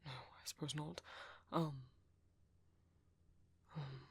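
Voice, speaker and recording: A British man playing a gentle, protective vampire priest in an ASMR roleplay, speaking in hushed, hesitant, soothing whispers. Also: a little high, steady tone, takes it slowly, vocal fry, husky, no echo, exaggerated voice. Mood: hesitant, apologetic. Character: gentle, soft-spoken male vampire priest, protective caretaker.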